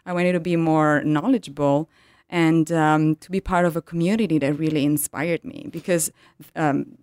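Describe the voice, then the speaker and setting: A woman in her thirties from Italy with an Italian accent, a yoga teacher telling her story in an interview, pausing often to find words in English. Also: deep voice, fairly even intonation, a little mellow, dry recording, clean studio sound. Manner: hesitant, searching for English words with many ums.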